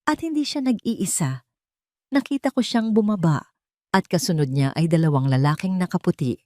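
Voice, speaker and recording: A woman narrating a scripted first-person drama in a deliberate, dramatic way with short phrases. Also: fairly low pitch, expressive, darkish tone, no room sound, studio quality. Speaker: story narrator.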